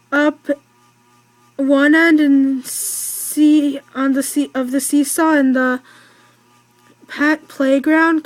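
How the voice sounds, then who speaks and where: A young girl reading a children's storybook aloud in a halting way, stumbling over words and correcting herself. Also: high-pitched, no room sound, poor audio. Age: child or teen, in school. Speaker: read-aloud narrator.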